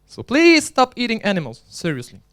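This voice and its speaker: Clear-voiced man giving a joking conference talk. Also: high-pitched, huge pitch swings, fairly quick, fairly bright tone. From Belgium. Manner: chatty, joking, restarts often. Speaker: conference speaker and organizer. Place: conference hall.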